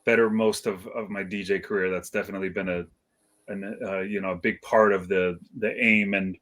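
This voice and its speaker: A man's voice: sits low, little pitch movement, brisk, fairly bright tone. A middle-aged man from Iceland, a guest DJ in a casual interview, talking in a laid-back, modest way with many fillers and restarts.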